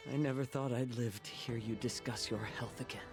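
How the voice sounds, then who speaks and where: A man voicing a gentle, wry, wounded healer in a video game, calm and deliberate. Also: medium pitch, lightly acted. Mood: content, weary. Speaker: game character voice actor. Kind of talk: dramatic game voice acting. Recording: music behind the voice.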